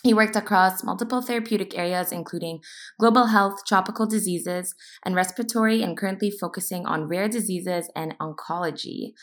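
A woman in her twenties co-hosts an interview podcast. Her voice sits in a middle pitch, and she sounds upbeat and organized as she reads a prepared intro before moving into questions. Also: brisk. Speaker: podcast co-host.

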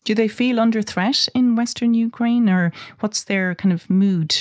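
A podcast host on a health show, asking questions of a guest in a measured, conversational way. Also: mid-range voice, a little mellow, dry recording, clean studio sound. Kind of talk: interviewing a guest.

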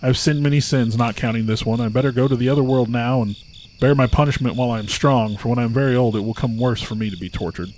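A man's voice: medium pitch, fast, darkish tone, slight background noise, close to an everyday voice. An old soldier speaks plainly and wearily in a told folk tale, as a man reads his lines. He sounds resigned and blunt. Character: weary old male soldier, sinner.

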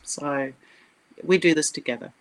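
A woman's voice: sits low, measured pace, low-quality recording. A middle-aged woman from South Africa with a South African accent, a scientist guest speaking earnestly and with passion in a podcast interview. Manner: earnest, emphatic, passionate storytelling.

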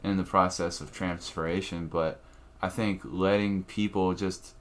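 A man's voice: sits low, steady tone, unhurried. A young man, a comedian co-hosting a podcast, ranting casually with frequent profanity and restarts. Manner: rambling, profane, restarts often.

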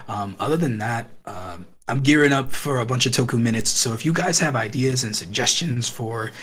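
A man co-hosting a podcast in casual chat, earnest and rambling with halting restarts, hoarse as he loses his voice. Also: mid-range voice, fairly bright tone. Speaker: podcast co-host.

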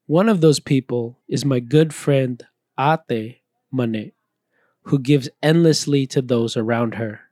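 A man reading a scripted, reflective personal talk in an earnest, measured way. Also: mid-range voice, mellow, no room sound. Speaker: missionary speaker.

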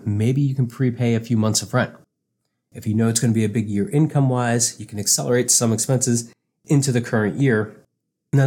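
A man hosts his own podcast, walking listeners through practical advice in a relaxed, conversational way. He is getting over a cold, and his fairly low voice carries a slightly off, under-the-weather sound. He talks plainly and restarts the odd phrase. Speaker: podcast host, accountant. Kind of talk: casual explanatory podcast talk.

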